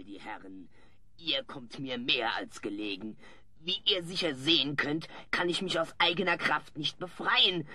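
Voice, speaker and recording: A man's voice: medium pitch, pushed and bright. A man playing a sly hunchbacked coachman villain with an oily, formally polite manner in a German audio play.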